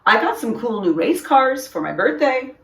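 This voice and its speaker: A woman voicing an easily excited young schoolboy cat in a storybook, in an eager, childlike manner. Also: fairly high pitch, moderate pace, gravelly, voice pushed up, heavily acted. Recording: roomy echo, distant microphone.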